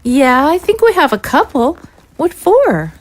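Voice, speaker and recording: An actress playing a worried, hesitant young daughter from a rough settlement in an audio drama. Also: female voice, sits high, sing-song, a little slow. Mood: hesitant, subdued.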